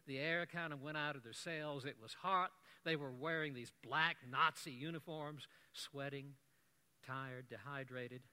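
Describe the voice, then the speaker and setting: Bright-voiced preacher telling a story. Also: male voice, fairly high pitch. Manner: animated storyteller, wry asides. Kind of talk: sermon storytelling.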